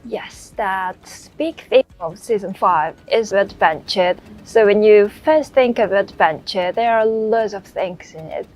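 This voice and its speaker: Slow, halting woman explaining. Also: medium pitch. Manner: halting, simply worded. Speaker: concept artist, interview guest. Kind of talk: unscripted explanation.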